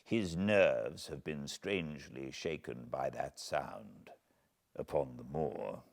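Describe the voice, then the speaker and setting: A British man reading a classic novel aloud as an audiobook narrator, in a measured, expressive storytelling manner. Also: very deep voice, creaky. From Britain. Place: cozy spot by a fireplace at home.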